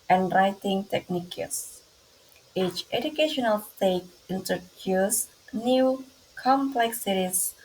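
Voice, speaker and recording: A young woman with an Indonesian accent, reading her class presentation aloud slowly and carefully, with small grammar slips. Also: medium pitch, slight background noise, poor audio. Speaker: student presenter.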